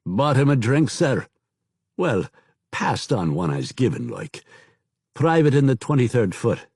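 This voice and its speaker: A man voicing a rough-spoken veteran soldier scout who talks in coarse slangy dialect and keeps deferring to his officers. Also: medium pitch, big pitch swings, measured pace, darkish tone, no echo, studio quality, voice pushed up. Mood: gruff report.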